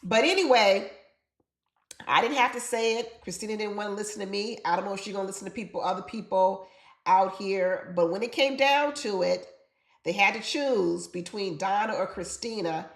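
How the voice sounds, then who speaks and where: Woman, congested, gravelly fry, echoey room, opinionated commentary chat. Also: medium pitch, moderate pace. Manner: chatty and opinionated, talks straight to viewers. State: congested from being sick. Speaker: reality TV commentator.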